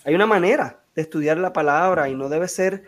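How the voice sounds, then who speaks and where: A man's voice: fairly high pitch, a little fast. A man hosting a podcast interview, talking hesitantly with many fillers and tag questions. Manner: hesitant, frequent fillers and "¿verdad?". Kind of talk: casual interview.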